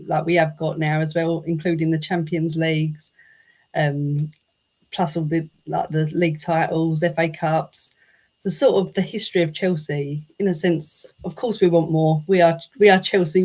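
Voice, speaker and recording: A woman guest on a podcast who talks about football in a relaxed, casual way, filling her answers with um and sort of. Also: sits low, moderate pace. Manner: relaxed, with many fillers. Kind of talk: casual interview chat.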